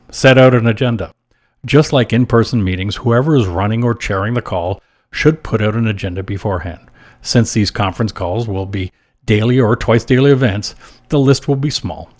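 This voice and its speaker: Man, lowish pitch, podcast host, scripted advice narration, measured, instructional delivery. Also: darkish tone.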